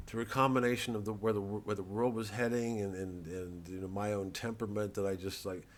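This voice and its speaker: A man's voice: on the low side, level tone, brisk. A man, a comedian, talking casually to camera in a rambling way, with stammering restarts and free swearing.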